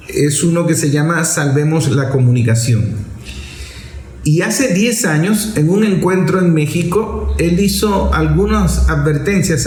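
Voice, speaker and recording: A Venezuelan man hosting a program, explaining political commentary in a didactic way with emphatic pauses. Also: on the high side, a little mellow. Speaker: radio program host. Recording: echoey room sound.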